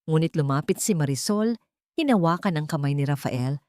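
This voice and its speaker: Female audiobook narrator, lower register, solemn and measured, very dry studio sound. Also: lively intonation, a little mellow, dry recording. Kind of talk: dramatic scripted story narration.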